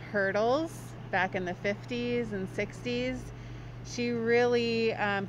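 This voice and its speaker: A woman in her thirties from Hawaii, an artist being interviewed on the street, talking thoughtfully with frequent ums. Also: on the low side. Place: outdoors by a brick wall on a city street. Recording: dull, noisy street audio.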